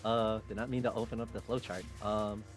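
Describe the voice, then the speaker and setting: A man playing a game and commentating casually, swearing and getting excitable as he goes. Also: lowish voice, a little fast. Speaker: streamer, main player. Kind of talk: casual gameplay commentary and dramatic read-aloud. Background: background music.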